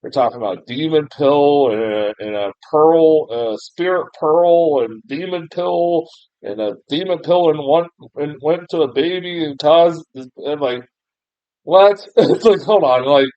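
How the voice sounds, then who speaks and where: A man's voice: a little high, a little slow, dry recording. Rambling, self-mocking American guy. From United States. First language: English. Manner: rambling, self-deprecating, frequent fillers and restarts, laughs often, mild swearing. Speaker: film reviewer. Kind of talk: casual spoken film review. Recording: dull, muffled lo-fi sound, dry.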